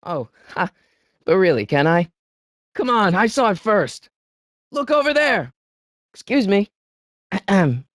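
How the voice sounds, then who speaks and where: A man's voice: high voice, no room sound, studio quality, exaggerated voice. A male actor playing an earnest, wisecracking teenage boy in a video game, with a youthful voice and a hesitant, slangy delivery. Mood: curious, coaxing. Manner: hesitant, stammering asides, wry slangy delivery. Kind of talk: video game character lines.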